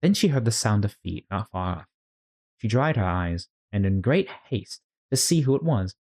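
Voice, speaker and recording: A storyteller reading a children's classic aloud as expressive narration for a read-along. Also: medium pitch, moderate pace.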